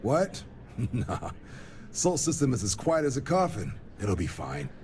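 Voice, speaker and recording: An actor playing a breezy, wisecracking space navy officer in casual, joking video game dialogue. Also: male voice, mid-range voice, wildly swooping pitch, unhurried, a crowd in the background. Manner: casual, joking, clipped sentences.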